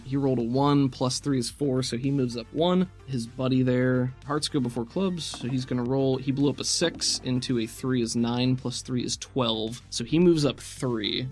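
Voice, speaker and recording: A man with a mid-pitched voice works as game master for a tabletop role-playing game. He talks casually and describes the action in detail, with music playing behind him. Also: darkish tone. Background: background music.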